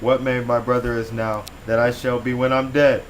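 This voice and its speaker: A man's voice: medium pitch, flat delivery, moderate pace, a little hiss or hum, lightly acted, rough recording. Teen boy as King Gilgamesh. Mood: grieving. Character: proud young king Gilgamesh, grieving.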